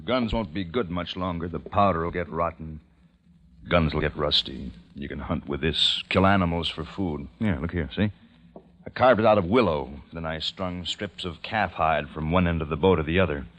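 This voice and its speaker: Deep-voiced, weary survivor in radio drama. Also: male voice, normal speed, fairly bright tone. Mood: gentle, earnest. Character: weary, grieving male survivor leading a small community. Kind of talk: old-time radio acting with first-person narration. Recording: old radio recording with music behind.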